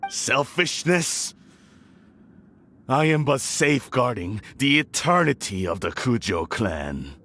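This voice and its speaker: An actor playing a proud, scheming older clan lord in a video game drama, speaking in a formal, haughty, theatrical manner. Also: male voice, mid-range voice, very animated, takes it slowly, pushed and bright, exaggerated voice. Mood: grandiose.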